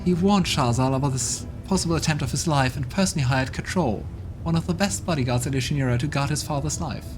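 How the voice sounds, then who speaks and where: A cruel demon judge passing sentence with theatrical scorn, as a man lifts his voice to play it. The lines ring out loud and declamatory, with game music underneath. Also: fairly high pitch, fast talker, close to an everyday voice. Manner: declamatory, contemptuous.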